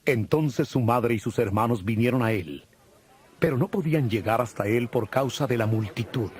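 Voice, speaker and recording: A man narrating a dramatized audio Bible in a solemn, measured storytelling manner. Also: medium pitch, a little mellow. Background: music.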